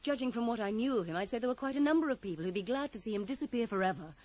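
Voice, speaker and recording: An actress in a radio drama playing a guarded, sharp-tongued woman writer who is curt, evasive and coolly defiant. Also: fairly high pitch, lively intonation, very fast, lightly acted. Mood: indignant, scornful. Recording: noisy 1980s radio drama broadcast.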